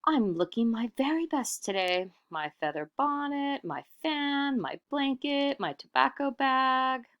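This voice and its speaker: A man's voice: extremely high pitch, very animated, a little slow, hardly any pauses, fairly bright tone, lo-fi sound, pitched above the performer's own voice, exaggerated voice. A woman voicing a vain, boastful young trickster man from a folk tale, in a self-important, theatrical way. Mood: smug, boastful.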